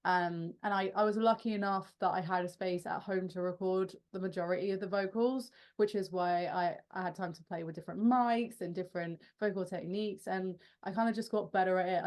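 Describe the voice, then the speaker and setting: A young woman fronting a rock band talks through interview questions in a loose, chatty way. She often trips over her own words, restarts her sentences and laughs at herself. She gets animated when an answer excites her. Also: lowish voice, moderate pace, few pauses.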